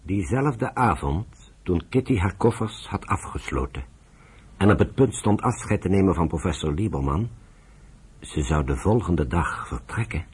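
An actor narrating a radio drama as a sober storyteller, reading in a scripted, measured way. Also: male voice, low voice, animated, slightly rough, exaggerated voice. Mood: grave, measured.

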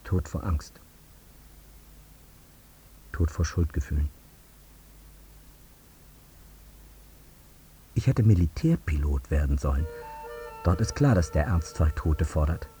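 An actor playing a guilt-ridden, bitterly self-justifying air traffic controller in a radio drama monologue, brooding and intense in clipped sentences. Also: male voice, extremely low pitch, expressive, measured pace, pauses often, mellow, faint music behind. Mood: haunted, subdued.

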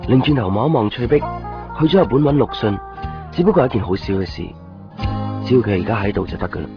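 An actor playing an earnest, uneasy boyfriend in a radio drama, understated, with a Hong Kong accent. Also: male voice, medium pitch, lively intonation, quick pace, lightly acted. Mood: anxious, reflective. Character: earnest, uneasy boyfriend clinging to fragile love. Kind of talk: radio drama dialogue and narration. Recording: dull 1990s radio recording, music behind. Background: clear background music.

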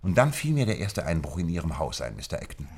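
Very deep-voiced detective in a radio play, smug lecturer, precise and sure of himself. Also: male voice, big pitch swings, fast, darkish tone, husky, exaggerated voice. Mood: deductive, intent. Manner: lecturing, precise, self-assured. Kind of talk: German radio play acting.

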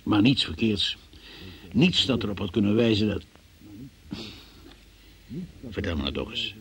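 An actor playing a stern magistrate in a radio drama, questioning a suspect in an insistent, patronising and sceptical manner. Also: male voice, on the low side, expressive, a little slow, a bit bright. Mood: probing.

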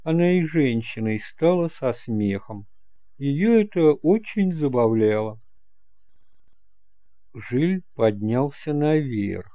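A man narrating a detective audiobook in a measured, deliberate storytelling manner, in a homemade recording. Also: medium pitch, sing-song, mellow, no echo, dull audio. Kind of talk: scripted dramatic narration of a detective novel.

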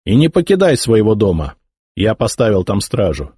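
A man's voice: on the low side, wildly swooping pitch, normal speed, warm tone, husky, no echo, studio quality, exaggerated voice. A man voicing an arrogant young heir to the throne who mocks and threatens his old uncle. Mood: haughty. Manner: contemptuous, mocking. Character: arrogant young male emperor's heir, sneering. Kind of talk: audiobook character voicing.

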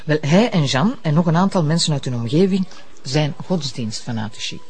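A woman acting as a detective's warm, teasing, chatty Flemish partner in a naturalistic television drama. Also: low-pitched, lively intonation, moderate pace, slight background noise.

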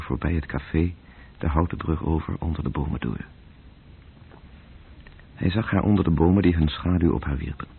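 A man narrating a literary radio drama in terse, measured phrases, with a gravelly, breathy voice. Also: low-pitched. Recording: muffled, tinny old radio-play recording.

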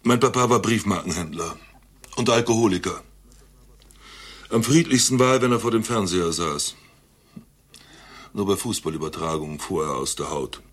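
A subdued patient on a psychiatrist's couch in a radio play. His voice is low and breathy-rough, and he answers in a meek, deadpan, matter-of-fact way. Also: moderate pace, pauses often.

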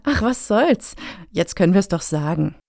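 A woman's voice: on the low side, big pitch swings, unhurried, vocal fry, darkish tone, no room sound, heavily acted. A woman acting in a radio satire as a wry, haughty grant applicant with an arch, dry manner.

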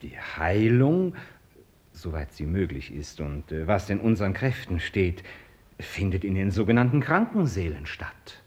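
A man's voice: low-pitched, big pitch swings, unhurried, a little mellow. A man acting an earnest hospital doctor in a German radio play, formal, deferential and hesitant in his wording.

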